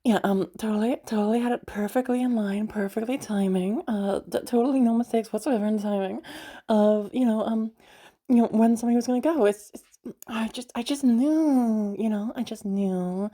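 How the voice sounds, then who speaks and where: A woman acting as a playful, caring party host in an intimate audio roleplay, soft-voiced and hesitant, rambling as she gently reassures the listener. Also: a little high, brisk, lightly acted. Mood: playful, flustered.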